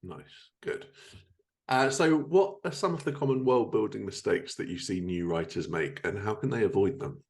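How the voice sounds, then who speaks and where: A man's voice: fairly low pitch, animated, moderate pace, a little mellow. A man hosting a casual interview, chatting and asking questions in a playful, jokey way. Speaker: podcast host interviewer.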